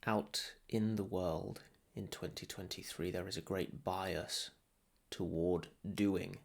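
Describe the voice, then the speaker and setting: A man guides a meditation in a deep voice with a creaky, fried edge. He speaks very slowly and soothingly, setting out short phrases with long pauses between them. The delivery is calm instruction, like a teacher leading practice.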